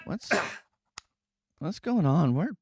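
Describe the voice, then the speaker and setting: A groggy, childish scientist, speaking slowly with lively swings. It is comedy radio play acting over slight noise. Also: male voice, on the high side.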